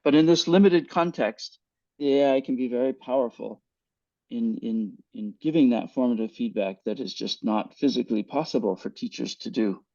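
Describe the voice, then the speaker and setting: Older guy on a headset call, slow and thoughtful, kinda dull dry sound. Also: medium pitch, warm tone, no room sound. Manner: thoughtful, measured, with frequent restarts and fillers. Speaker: guest speaker. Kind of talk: answering questions in a webinar. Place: home room with virtual background. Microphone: headset on video call.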